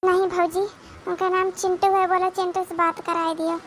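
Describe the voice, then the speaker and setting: A woman's voice: extremely high pitch, flat delivery, moderate pace, slight background noise. A woman voicing a whining, frightened young village girl in an Awadhi comedy cartoon, pleading repetitively. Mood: anxious. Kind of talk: melodramatic comedy cartoon dialogue.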